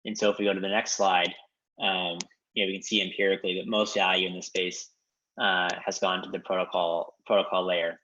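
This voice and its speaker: A man explaining in a measured way on a conference call, with frequent ums and you-knows. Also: sits low, level tone, sharp tone. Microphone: conference call line. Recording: dull lo-fi conference call audio.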